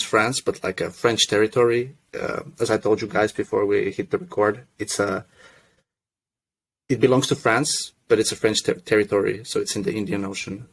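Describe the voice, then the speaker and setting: A young man from Russia who grew up in Finland, a podcast guest telling his story in a relaxed, self-deprecating way with many restarts. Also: lowish voice. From Russia, raised in Finland. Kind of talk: casual podcast chat.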